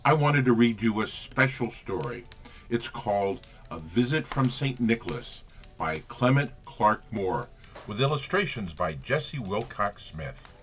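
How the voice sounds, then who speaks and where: A man reading storybook verse expressively as a storyteller, with theatrical, measured phrasing. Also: fairly low pitch, sing-song. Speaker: storyteller in a Santa persona.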